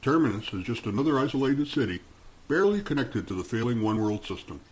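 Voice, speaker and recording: A man's voice: medium pitch, big pitch swings, fast, husky. A man reading scripted narration for a radio play.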